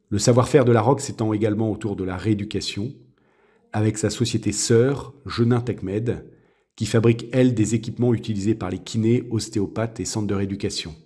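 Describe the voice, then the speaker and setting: Male ad reader, husky, mellow voice, even, measured delivery. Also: fairly low pitch. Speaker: sponsor announcer. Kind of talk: scripted ad read.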